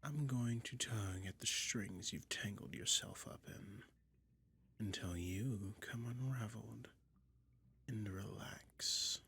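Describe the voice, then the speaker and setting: A man voicing a sly, soothing dragon who toys with a listener in a soft-spoken ASMR roleplay, breathy and whispery with a slow, coaxing delivery. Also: lowish voice, heavily acted. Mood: soothing, teasing. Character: sly, soothing male dragon lurking in a cave, toying hypnotist.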